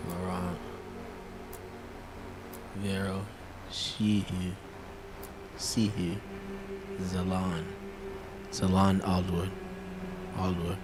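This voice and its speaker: Low-voiced man streaming a game. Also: level tone, very slow, pauses often, background noise. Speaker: game streamer, show host. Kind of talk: casual gameplay commentary, reading on-screen text aloud. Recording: game music behind the voice. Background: video game music.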